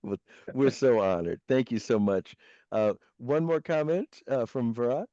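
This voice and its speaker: A man hosting a video call meeting, speaking softly and gratefully. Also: medium pitch, unhurried. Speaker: meeting host. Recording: dull lo-fi call audio.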